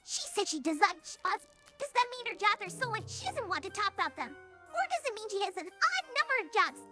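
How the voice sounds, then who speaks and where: A synthetic woman's voice playing an excitable, nosy young pony in a cartoon audio drama. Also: very high voice, fairly quick, music in the background. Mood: puzzled, eager. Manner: childlike, animated.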